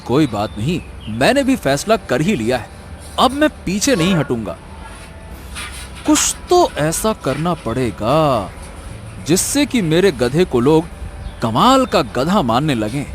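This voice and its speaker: Bossy cartoon village dreamer, high voice swooping all over, theatrical and wheedling. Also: male voice, wildly swooping pitch, slow pace, a little hiss or hum, heavily acted. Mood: scheming, determined. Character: bossy, scheming, foolishly hopeful village man, donkey's owner. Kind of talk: comic animated story dialogue.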